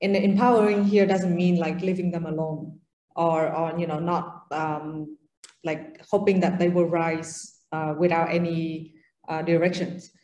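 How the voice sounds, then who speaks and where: A woman in her thirties speaking as a panelist on an online video call, fluent but filling pauses with soft ums and uhs. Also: on the low side, flat delivery, echoey room, dull audio. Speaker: panelist, venture associate.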